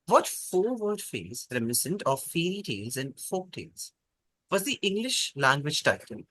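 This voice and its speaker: A man hosting a quiz, reading questions out in a measured, precise, polite way. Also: a little high, very animated, bright, forceful tone, no echo. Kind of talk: formal quiz question reading over an online call.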